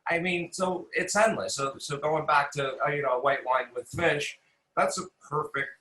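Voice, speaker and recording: Bright-voiced American man, echoey room. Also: fairly high pitch, normal speed. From New York City, United States. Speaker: chef and interview guest. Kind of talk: casual interview talk. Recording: roomy echo.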